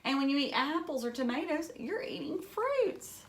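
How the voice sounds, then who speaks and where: A woman's voice: sits high, big pitch swings, moderate pace. A woman teacher reading a children's book aloud, adding simple, encouraging explanations in short phrases and putting questions to young listeners.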